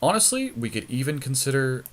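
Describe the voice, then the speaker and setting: A man's voice: mid-range voice, sing-song, fairly quick. A man talking casually and thinking aloud as he commentates on a card game draft in colloquial speech. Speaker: podcast host, card game player.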